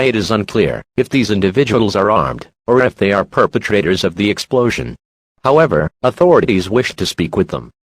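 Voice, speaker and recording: A man's voice: lowish voice, husky, no echo, heavily acted, poor audio. A man voicing an impersonal, official emergency alert announcer reading a formal scripted bulletin.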